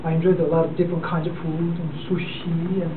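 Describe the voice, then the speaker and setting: A man in his forties from South Korea, with a Korean accent, giving an unprepared speech to a club in a halting way, full of fillers and restarts. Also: on the high side, little pitch movement. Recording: distant, echoey, phone-like and noisy.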